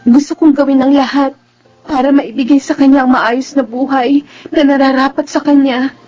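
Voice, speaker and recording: A woman's voice: high voice, steady tone, moderate pace, darkish tone, lo-fi sound, natural voice. An actress playing a hurt, proud single mother in a radio drama, with a Filipino accent and emphatic delivery. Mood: earnest pleading.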